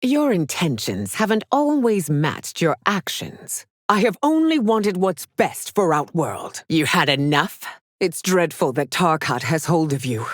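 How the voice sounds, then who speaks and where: An actress playing a haughty, regal, middle-aged warrior empress in a video game, speaking formally and imperiously with theatrical diction. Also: female voice, lowish voice, sing-song, unhurried, no echo, clean studio sound. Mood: scornful. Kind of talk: video game character voice lines.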